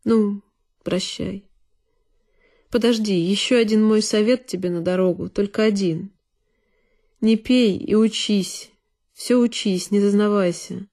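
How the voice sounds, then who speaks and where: A woman's voice: mid-range voice, fairly even intonation, unhurried, pauses often, mellow, close to an everyday voice. A woman voicing a sentimental, stubborn male landowner in an audio play, earnest, insistent and fatherly. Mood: fatherly warning. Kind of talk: dramatic literary monologue in audio play.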